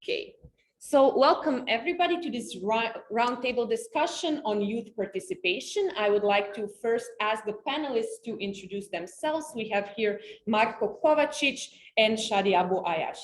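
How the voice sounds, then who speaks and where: A woman's voice: on the high side, lively intonation, normal speed. A woman moderating a panel discussion, courteous and organized as she introduces speakers and poses questions. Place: conference room. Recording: echoey room, faint ambience.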